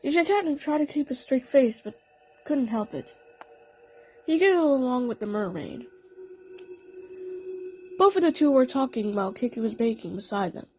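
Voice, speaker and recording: A woman reading out a scripted story with an expressive, storytelling delivery. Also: sits high, normal speed, long pauses, slightly rough, faint music behind, poor audio. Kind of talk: scripted fan story narration.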